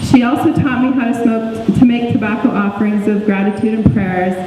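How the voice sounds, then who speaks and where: A woman reading a book chapter aloud as narrator, measured and reflective. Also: on the high side, warm tone, faint music behind. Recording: echoey hall, distant mic, faint noise.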